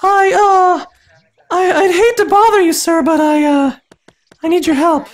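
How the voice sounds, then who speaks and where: A man voicing a timid, flustered young woman in a breathy falsetto, stammering through a dramatic story reading. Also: fairly even intonation, measured pace. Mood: timid, nervous. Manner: nervous, stammering, apologetic. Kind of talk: dramatic fan fiction reading.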